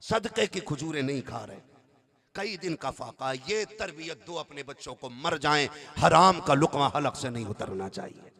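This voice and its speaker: A man preaches in a high voice full of heavy rasping creak. He tells religious stories with great drama and emotion, repeating phrases for effect and acting out each exchange. His delivery sounds strained and impassioned. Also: animated, normal speed, pushed and bright. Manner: dramatic, emotional delivery with acted-out dialogue and rhetorical repetition. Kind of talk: impassioned religious storytelling sermon.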